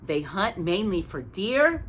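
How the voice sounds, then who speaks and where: Female storytime reader, unhurried and very lively in pitch, chatty and informal, some background noise. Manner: expressive, chatty, stumbles and corrects herself. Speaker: storytime host reading a picture book. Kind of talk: read-aloud children's storytime with casual asides.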